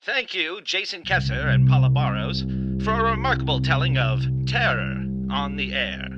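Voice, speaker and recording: A man's voice: a little high, big pitch swings, normal speed. A man announcing a scripted radio-show sign-off in a theatrical manner. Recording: dull sound under loud guitar music.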